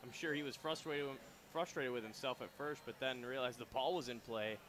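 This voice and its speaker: A young man giving relaxed, chatty radio color commentary on a baseball game from a ballpark booth. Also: mid-range voice, a little fast. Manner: casual, chatty, many fillers and restarts. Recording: crowd noise from the ballpark.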